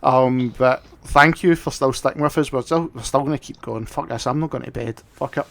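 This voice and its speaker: A man's voice: medium pitch, steady tone, fairly quick, gravelly, fairly bright tone. A man hosting a casual livestream chat, tipsy from drinking, rambling along and swearing freely. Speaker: livestream host.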